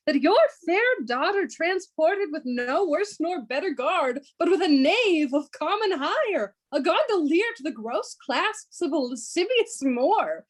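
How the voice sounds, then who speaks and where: A woman's voice: extremely high pitch, heavily acted. A woman playing a wealthy, aggrieved male suitor in a Shakespeare radio play, theatrical and earnest. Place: recorded at home over a video call.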